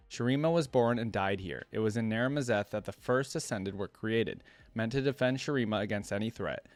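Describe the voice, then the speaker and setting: A man hosting a reaction video, reading a story aloud and adding casual, musing asides. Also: on the low side.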